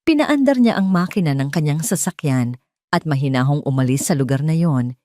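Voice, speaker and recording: A woman reading a scripted story as narrator, with a measured, dramatic delivery. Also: low-pitched, animated, mellow, no room sound, studio quality.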